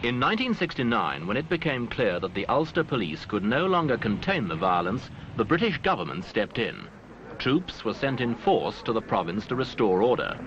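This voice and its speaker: A man reading scripted documentary newsreel narration in a formal, measured way with dramatic phrasing. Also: mid-range voice, sing-song, dull audio. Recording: noisy, band-limited archival newsreel soundtrack.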